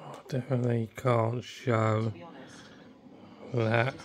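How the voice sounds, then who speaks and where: A man giving a casual unscripted demonstration, muttering to himself in short, halting remarks. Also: medium pitch, level tone. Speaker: amateur video maker showing an old TV. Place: indoor room with a TV playing. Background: television audio.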